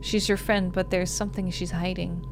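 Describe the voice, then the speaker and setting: A woman's voice: fairly low pitch, flat delivery, normal speed, music in the background, lightly acted. A woman voicing a young lost little sister from a visual novel, earnest, pleading and insistent. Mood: urgent pleading. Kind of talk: visual novel character read aloud.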